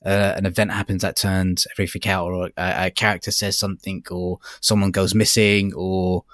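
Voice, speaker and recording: A man's voice: low-pitched, little pitch movement, normal speed, fairly bright tone, dry recording, studio quality. A man co-hosting a review podcast, speaking casually and tentatively as he searches for his words. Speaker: podcast co-host reviewing audiobooks.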